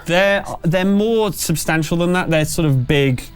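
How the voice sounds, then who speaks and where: A man's voice: a little high, measured pace, clear, resonant tone, soft background music. A man running a tabletop game as game master, narrating and talking through the rules in a conversational, think-aloud way.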